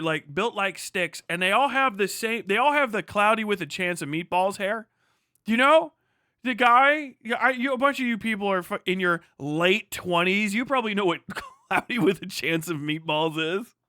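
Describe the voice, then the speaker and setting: High-voiced man ranting casually on a livestream. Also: bright, forceful tone, no echo, studio quality. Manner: sweary, joking, exaggerated rants. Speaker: livestream host. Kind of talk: casual rambling livestream chat.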